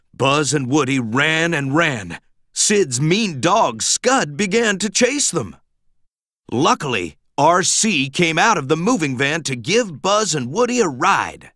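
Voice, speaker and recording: Animated male children's storybook narrator. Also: a little high, no room sound, studio quality. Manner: dramatic, emphatic storytelling for young children. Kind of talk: scripted children's storybook read-aloud, expressive.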